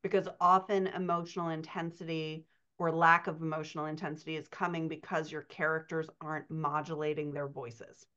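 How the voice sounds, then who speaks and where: Dull video-call sound, low female voice, middle-aged, emphatic explainer, podcast Q&A. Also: little pitch movement, brisk, a bit bright. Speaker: writing coach answering questions.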